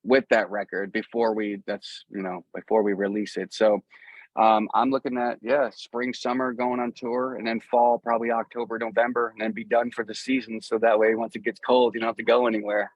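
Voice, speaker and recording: A man's voice: on the low side, little pitch movement, a little fast, no room sound. Dull-sounding man chatting as a guest. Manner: rambling, informal, slangy. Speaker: interview guest, musician. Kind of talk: casual conversational interview.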